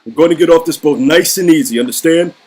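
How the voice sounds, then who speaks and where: A man playing a gruff, impatient guard who orders prisoners around in a curt, husky voice. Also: fairly high pitch, fast, darkish tone. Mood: stern.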